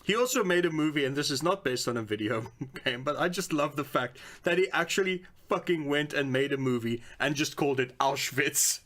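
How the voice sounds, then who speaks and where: A man's voice: fairly high pitch, brisk, few pauses, a bit bright. A man co-hosting a podcast, talking casually in a blunt, opinionated and sweary way. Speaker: podcast co-host. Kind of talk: casual chat about films and games.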